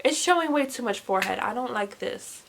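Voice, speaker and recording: A young woman in her late teens chatting casually to camera as a book vlogger, rambling with animated, self-deprecating asides. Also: a little high, fairly quick, vocal fry, a little hiss or hum. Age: young adult, late teens.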